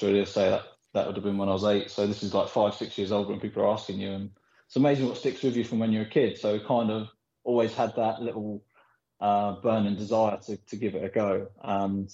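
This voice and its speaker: A man with a low, mellow voice tells long stories, full of "you know" and "um". His voice carries a roomy echo and comes through a dull, lo-fi recording. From Philippines. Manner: rambling, animated storytelling with frequent fillers. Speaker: pool player guest. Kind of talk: casual podcast interview.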